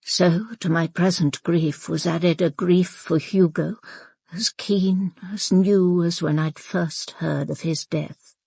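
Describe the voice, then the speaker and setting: An elderly upper-class British lady, low and a little husky. She is stoic and imperious, speaking in slow, formal, clipped phrases in a radio drama, recorded close and dry. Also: steady tone, darkish tone, no room sound, exaggerated voice. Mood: grieving, resolute. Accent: upper-class British English. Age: 80s. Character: proud, grieving elderly aristocratic mother, stoic and imperious. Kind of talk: radio drama with first-person narration.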